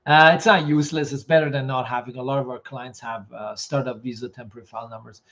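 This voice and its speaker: A man hosting a live question session as an immigration consultant, reading viewer comments aloud and giving quick, matter-of-fact answers while losing his voice. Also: mid-range voice, fairly even intonation, fairly bright tone, highs cut off.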